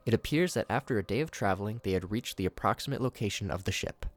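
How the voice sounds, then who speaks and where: A man's voice: lowish voice, animated, fast, dry recording. A man hosting a video, reading scripted narration mixed with his own commentary. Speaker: video host reviewing horror shorts.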